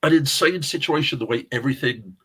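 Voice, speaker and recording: A man's voice: medium pitch, fairly quick, a bit bright, husky. A middle-aged man with a South London accent, telling rambling film-industry anecdotes in a casual chat, laughing often and swearing now and then. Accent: South London English. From England. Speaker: interview guest, casting director and actor.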